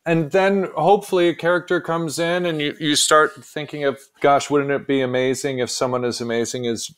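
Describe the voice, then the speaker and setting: A man giving casual interview answers as a playwright, halting and self-deprecating as he thinks aloud. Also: on the high side, fairly even intonation, a bit bright, smooth.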